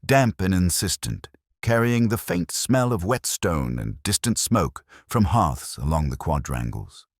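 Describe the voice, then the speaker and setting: Male narrator, very low voice with lively intonation, contemplative scripted detective fiction, dry close recording. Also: no room sound. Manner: measured, literary, contemplative. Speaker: audiobook-style narrator. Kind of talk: scripted first-person detective story narration.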